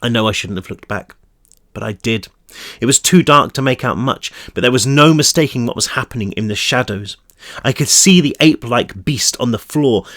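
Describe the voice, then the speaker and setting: A man with a croaky, fading voice reading his own novel aloud in a steady storytelling manner, with chatty asides. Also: medium pitch, dry recording, clean studio sound. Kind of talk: audiobook-style read-through.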